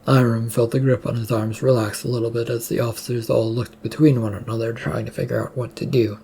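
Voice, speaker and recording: A narrator reading a scripted story aloud in a slightly halting, amateur way. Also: medium pitch, level tone, vocal fry, warm tone, a little hiss or hum.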